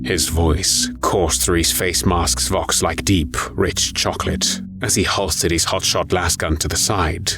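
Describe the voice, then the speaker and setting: A man's voice: low voice, fairly even intonation, a little slow, creaky, few pauses. A man reading scripted, dramatic narration for an audio story. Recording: music under the voice. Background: music.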